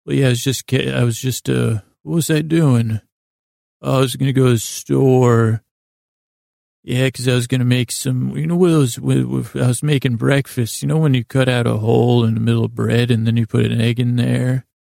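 A man's voice: mid-range voice, fairly even intonation, normal speed, vocal fry, mellow, no room sound, studio quality. A man voicing a laid-back, forgetful beach-bum neighbour who talks in a vague, meandering way in a podcast bedtime story. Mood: vague, absent-minded.

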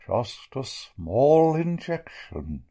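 A man's voice: mid-range voice, sing-song, mellow, exaggerated voice. A man playing a sinister, murderous doctor in a 1940s-style radio drama, speaking in a formal, condescending way. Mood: calm menace. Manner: formal, measured, condescending villain speech. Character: sinister murderous male doctor, coolly menacing narcotics dealer.

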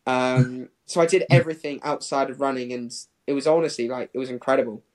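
A man in his twenties speaks as a guest in a casual interview. His voice sits at a medium pitch. He rambles conversationally, dropping "like" into his sentences again and again. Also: fairly even intonation, fast, a little mellow, rough recording. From Hong Kong and England. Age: 20s. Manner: rambling, peppered with "like". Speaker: professional distance runner, interview guest.

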